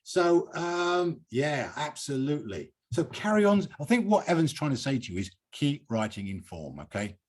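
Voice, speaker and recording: A British man hosting a poetry reading, reciting and commenting in an emphatic, expressive, theatrical manner. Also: on the high side, normal speed, few pauses. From Britain.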